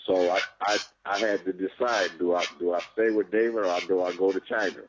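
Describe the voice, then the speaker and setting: A man's voice: deep voice, steady tone, a little fast, noisy background. A man, a boxing trainer, answering interview questions plainly and repeating words as he goes. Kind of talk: interview answers over a call. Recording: dry muffled call audio.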